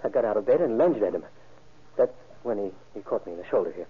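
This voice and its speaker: Shaken, husky radio-drama husband. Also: male voice, on the low side, lively intonation. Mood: shaken, earnest. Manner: halting, earnest witness account. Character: shaken, wounded husband recounting an attack. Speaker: actor in a crime radio drama. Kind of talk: old-time radio acting. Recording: muffled, band-limited vintage radio recording.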